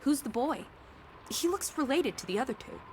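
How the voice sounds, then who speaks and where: A woman's voice: high voice, big pitch swings, normal speed, a little hiss or hum. An actress playing a sociable, cheeky young woman of the 1920s, theatrical and teasing, in a period drama video game. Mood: playful teasing. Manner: playful, teasing, old-fashioned slang.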